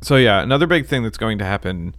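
A man co-hosting a podcast in casual chat, talking haltingly with many ums and restarts. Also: lowish voice, expressive, fairly bright tone, no room sound, clean studio sound. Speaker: podcast co-host.

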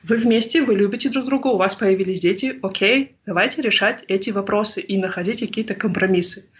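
A woman from Kazakhstan in her thirties talks through her thoughts in an interview, earnest and unhurried in her search for the right words. Her voice has a breathy, husky edge. The recording sounds a little muffled and lo-fi. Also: medium pitch. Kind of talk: reflective personal interview. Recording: slightly dull lo-fi recording.

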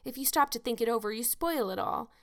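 Storybook role: impulsive young girl, played by a woman narrator, high-voiced, earnest, pleading and dramatic. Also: animated, fast talker, fairly bright tone. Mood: excited protest.

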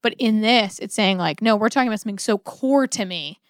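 A woman's voice: medium pitch, fairly quick, no echo, clean studio sound. A woman co-hosting a podcast, reflecting on religious ideas conversationally, with frequent use of "like". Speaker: podcast co-host. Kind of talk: casual religious discussion.

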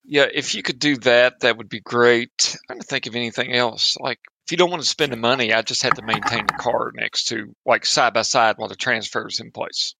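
Man, tabletop player, rambling, gravelly, bright. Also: medium pitch, brisk, hardly any pauses, no room sound, low-quality recording. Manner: rambling, casual swearing. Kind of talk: tabletop roleplay chat.

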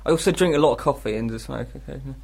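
A man's voice: medium pitch, rapid-fire, gravelly, darkish tone, breathy edge. A man with a British accent co-hosting a radio show, chatting casually in a jokey, rambling way. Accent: British English. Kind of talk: casual comic chat.

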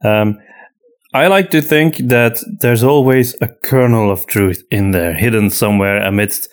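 A man hosting a podcast in casual conversation, explaining things in a measured, reflective way with hesitant pauses. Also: on the low side. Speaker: podcast host.

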